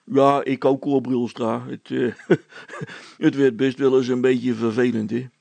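Old village constable for a storybook, done by a male reader: simple, kindly, gravelly, hesitant and folksy. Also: mid-range voice, flat delivery, unhurried, darkish tone, no echo. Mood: friendly, sheepish. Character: simple, good-natured old village policeman, slow-witted seeming.

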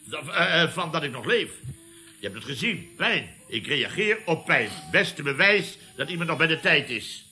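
A man's voice: a little high, very animated, moderate pace, sharp tone, soft background music, heavily acted. A man playing an indignant, blustering company boss in a radio comedy, sputtering and repeating himself in exasperation. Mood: indignant, flustered. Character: indignant, blustering male boss of a building firm. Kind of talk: 1970s Dutch radio comedy acting.

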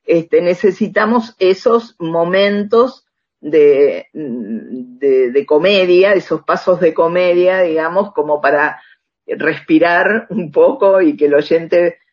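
A woman with an Argentine accent in a conversational radio interview, speaking reflectively and haltingly, often checking with a tag question. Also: fairly low pitch, dull audio. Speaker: author interviewed about radio drama.

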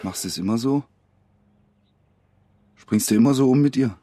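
An actor in a radio drama playing a gruff, blunt working-class workmate who needles others in slang. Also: male voice, medium pitch, moderate pace, dark tone, no room sound, close to an everyday voice. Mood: irritated, tense. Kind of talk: naturalistic radio drama acting.

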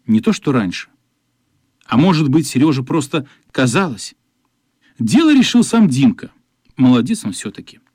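A man narrating a radio play in an expressive, measured literary storytelling style. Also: a little high, breathy edge, no room sound, clean studio sound.

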